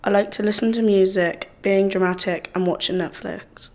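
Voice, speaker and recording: Flat-toned 20-year-old woman. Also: mid-range voice, normal speed. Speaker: young film participant. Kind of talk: personal testimony for a short film.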